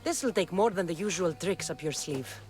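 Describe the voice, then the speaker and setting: A woman's voice: on the low side, lively intonation, moderate pace, darkish tone, faint music behind, close to an everyday voice. A woman playing a tough, sardonic young mercenary of ancient Greece in a video game, speaking bluntly. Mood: angry, sardonic. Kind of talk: video game character acting.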